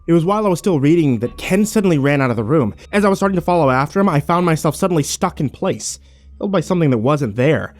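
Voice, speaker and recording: A man playing a grim, fearful survivor, narrating his ordeal in a brooding, measured first-person horror style. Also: fairly high pitch, expressive, faint music behind, close to an everyday voice. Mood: dread.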